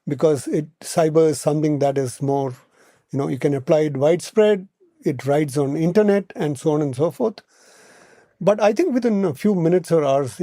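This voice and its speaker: Mellow, higher-pitched Indian-accented man. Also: no echo, clean studio sound. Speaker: podcast guest, policy analyst. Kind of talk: explanatory podcast discussion.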